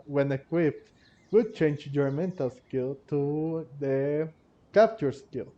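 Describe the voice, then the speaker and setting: A man's voice: fairly high pitch, mellow. A man giving casual playthrough commentary on a game, with hesitant, repeating phrasing. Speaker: gaming video host. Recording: dull lo-fi microphone sound. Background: faint game music.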